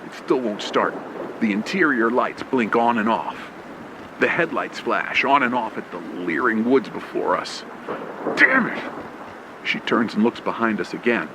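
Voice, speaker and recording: A man's voice: fairly low pitch, animated, normal speed, noisy background. A man narrating a horror story in the first person, tense and suspenseful, in an old radio play style. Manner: tense, suspenseful, short clipped phrases. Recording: loud rain, storm and engine effects. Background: rain, thunder and vehicle sound effects.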